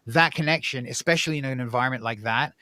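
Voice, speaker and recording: A man who grew up in Egypt, a podcast guest talking casually in a blunt, profane, animated way. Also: medium pitch, fast talker, no room sound.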